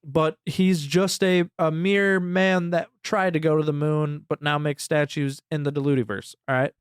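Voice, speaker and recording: A man's voice: a little high, little pitch movement, moderate pace, clean tone, no room sound, studio quality. A man co-hosting a podcast, recapping a story in a rambling, casual way and swearing freely. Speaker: podcast co-host.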